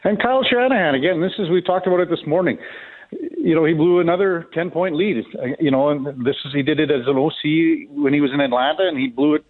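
A man's voice: fairly high pitch, fast talker. A man giving casual sports analysis over a phone line, conversational, often repeating and rephrasing his points. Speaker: sports commentator. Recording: tinny, phone-like narrow band.

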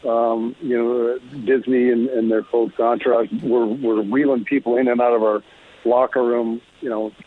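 A middle-aged man with a Canadian accent and a husky voice, reminiscing casually as an interview guest and former hockey player. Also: mid-range voice, level tone, normal speed. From Alberta, Canada. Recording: dull phone-like lo-fi sound.

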